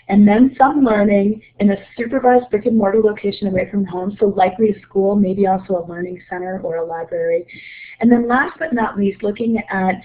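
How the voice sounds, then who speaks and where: A woman with a slight cold giving an explanatory, measured webinar presentation as a presenter. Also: mid-range voice. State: getting over a cold, slightly low voice. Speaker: presenter, report co-author. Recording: narrow muffled phone-like line.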